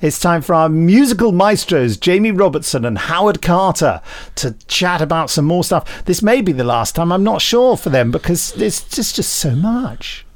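A man, perhaps middle-aged, hosting a podcast in a casual, chatty, animated style with interjections. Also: high voice, normal speed, hardly any pauses. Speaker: podcast host interviewing guests. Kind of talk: casual chat.

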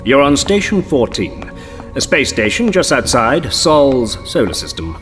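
Irritable secret agent, animated mid-range voice, dry, exasperated, reverberant space, music behind. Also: male voice, mid-range voice, unhurried. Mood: businesslike. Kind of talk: audio drama acting. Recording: long echoing reverb, music underneath.

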